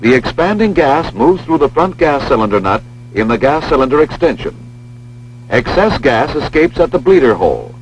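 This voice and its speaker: An American man reading scripted instructional narration for a training film in a measured, precise, formal manner. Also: mid-range voice, sing-song. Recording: dull lo-fi film soundtrack, humming noise.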